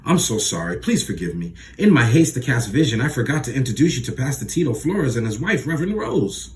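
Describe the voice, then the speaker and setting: A man voicing an eager, out-of-breath male preacher who talks slick and gushes with excitement. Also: mid-range voice, a little hiss or hum, voice pushed up, natural voice. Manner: gushing, fast and pushy.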